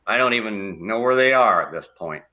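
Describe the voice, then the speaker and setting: A man's voice: lowish voice, lively intonation, a little fast, pushed and bright, highs cut off. A man playing a grumpy older widowed neighbor in a stage play, blunt and dryly complaining. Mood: reflective.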